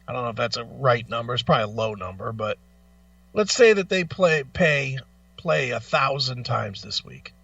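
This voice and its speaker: A man's voice: medium pitch, normal speed, vocal fry, fairly bright tone, no echo, far from the mic, lo-fi sound. A man hosting a talk show, speaking casually and bluntly with opinions, swearing freely and sounding exasperated and emphatic.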